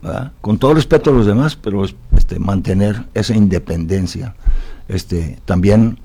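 A man's voice: fairly low pitch, moderate pace, dark tone, breathy edge. A man from Italy talking reflectively as an interview guest, philosophical and often tagging his points with questions seeking agreement.

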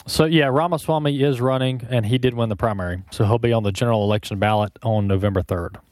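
A man's voice: medium pitch, steady tone, fast, a little mellow, no echo. A man appearing as a radio guest, giving brief factual answers. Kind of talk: talk radio chat.

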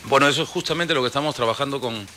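A Peruvian man in his forties, a football club executive answering radio interview questions in a measured, explanatory way with many fillers. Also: mid-range voice, sharp tone, a little hiss or hum. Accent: Peruvian Spanish. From Peru. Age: 40s.

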